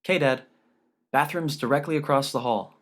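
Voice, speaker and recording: A man's voice: medium pitch, normal speed, voice pushed up, natural voice. A man voicing a polite, earnest young daughter who is keen on her studies. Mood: casual. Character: polite, keen college-age daughter, earnest and bright-minded.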